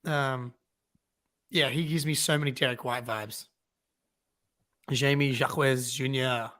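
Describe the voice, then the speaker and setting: A man's voice: medium pitch, fairly even intonation, takes it slowly, bright, forceful tone. A young man co-hosting a live fan reaction stream, chatting casually and agreeing often with fillers. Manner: easygoing, agrees a lot, fillers like "yeah, dude" and "um". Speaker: fan channel co-host.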